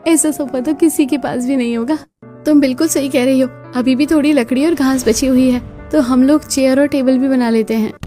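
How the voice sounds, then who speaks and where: Woman as an excitable, high-pitched young sister-in-law. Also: moderate pace, soft background music, lightly acted. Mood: delighted. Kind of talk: Hindi cartoon story acting.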